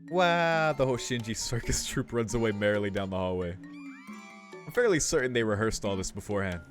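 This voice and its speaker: A young man with a fairly low voice commentates on a game as he plays. He muses informally, thinking aloud between passages of text he reads out, with faint music in the background. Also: lively intonation, a little fast. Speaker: video game streamer. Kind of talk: casual playthrough commentary mixed with reading game text aloud.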